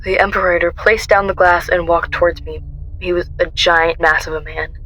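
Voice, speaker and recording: A woman's voice: lowish voice, steady tone, moderate pace, a bit bright, dull audio. A woman playing a defiant, haunted young woman on the run, telling her story earnestly in a radio drama. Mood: anguished narration. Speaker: lead actor and narrator in an amateur radio drama. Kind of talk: first-person dramatic narration and radio drama acting. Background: music.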